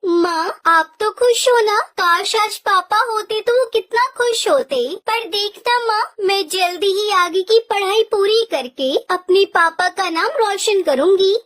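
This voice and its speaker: A woman's voice: extremely high pitch, measured pace, hardly any pauses, fairly bright tone. A woman voicing a teenage girl sparrow in a cartoon story, a devoted daughter who speaks in a pleading, tearful way. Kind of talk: Hindi cartoon voice acting.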